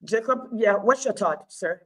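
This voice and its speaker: A woman hosting a call-in talk show, brisk and polite with callers. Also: medium pitch, animated, a bit bright.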